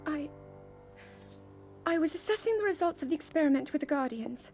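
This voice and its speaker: An actress voicing an earnest, thoughtful young princess and scholar in a game cutscene, speaking in a measured way. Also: female voice, sits high, expressive, darkish tone, soft background music. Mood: flustered. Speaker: game character.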